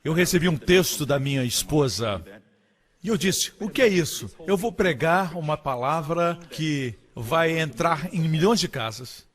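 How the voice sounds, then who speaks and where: Male sermon dubber, slightly high voice, emphatic preaching delivery. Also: expressive, unhurried. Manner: emphatic preaching, leaps higher and louder on key phrases. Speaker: voice-over for a preacher.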